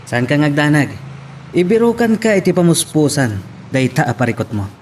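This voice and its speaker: A man's voice: a little high, very animated, normal speed, a little mellow, slight background noise. A man with an Ilocano accent playing a jovial, teasing best friend in an amateur audio drama. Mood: encouraging. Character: jovial, teasing male best buddy giving love advice.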